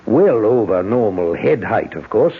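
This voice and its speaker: A man's voice: on the low side, sing-song, measured pace, slightly rough, exaggerated voice. A man playing a protective, wry, reassuring older uncle in a 1970s radio drama, heard through a tinny broadcast. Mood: wry relish.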